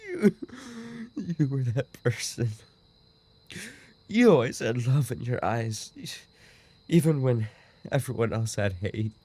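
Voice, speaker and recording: A man's voice: medium pitch, animated, slow pace, pauses often, dark tone, slightly rough. A man playing a dying, obsessive and remorseful yandere roommate in an audio roleplay, speaking in weak, broken, repeated phrases. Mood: wounded longing. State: weakened, dying from an injury. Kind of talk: boyfriend ASMR audio roleplay acting.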